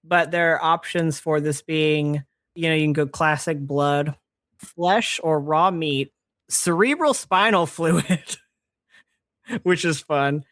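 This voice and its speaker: A podcast host in their late twenties explaining fantasy lore in casual chat, halting and chuckly but enthusiastic. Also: medium pitch, fairly bright tone, no room sound, clean studio sound. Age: late 20s.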